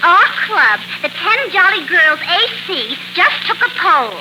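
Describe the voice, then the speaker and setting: Heavy noise surrounds a brash young tavern owner's daughter in a 1940s radio comedy. Her voice is extremely high, and she delivers blunt lines with gushing cheek. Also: very animated, a little slow, heavily acted. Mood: blunt, teasing. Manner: blunt, gushing then deflating. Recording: noisy 1940s radio broadcast recording.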